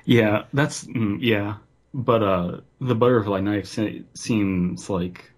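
Male co-host, low husky voice, dry humor, casual halting delivery. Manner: dry teasing, hesitant starts. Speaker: podcast co-host. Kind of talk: casual chat.

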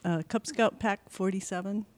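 A woman from Minneapolis, a guest parent, explaining things in an unhurried, thoughtful way. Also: mid-range voice, steady tone. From Minneapolis, Minnesota, USA. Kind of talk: casual interview answers.

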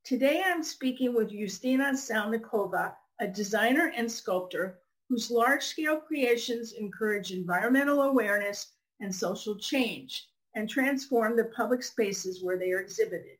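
A woman's voice: a little high, normal speed, few pauses, rough recording. A woman podcast host reading scripted introductions and putting questions to a guest.

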